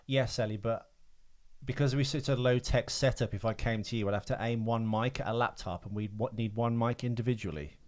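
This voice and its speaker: A man with a mid-pitched voice, talking as a podcast co-host. He rambles casually through trivia, hesitating and correcting himself as he goes. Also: fairly quick, a little mellow.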